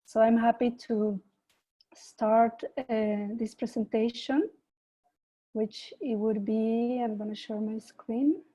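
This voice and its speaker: A woman from Peru, a mindfulness teacher, giving an online talk and gently guiding a meditation over a video call. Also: on the high side, fairly even intonation, low-quality recording. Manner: slow, soft guiding voice with gentle invitations. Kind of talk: online presentation and guided meditation.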